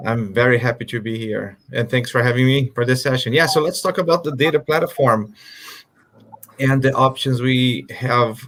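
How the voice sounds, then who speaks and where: A man's voice: medium pitch, a bit bright. Man presenting slides on a call. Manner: hesitant, filler-heavy explaining. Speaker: guest speaker. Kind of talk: online conference presentation.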